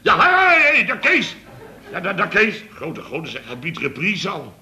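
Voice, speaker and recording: An actor playing a blustering, flustered contractor boss in a radio comedy serial, stammering and repeating himself excitedly. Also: male voice, high-pitched, moderate pace, creaky, heavily acted.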